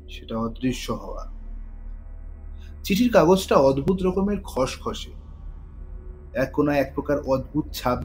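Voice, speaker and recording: A man's voice: mid-range voice, darkish tone, poor audio. Male thriller narrator over music. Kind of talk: scripted dramatic thriller narration.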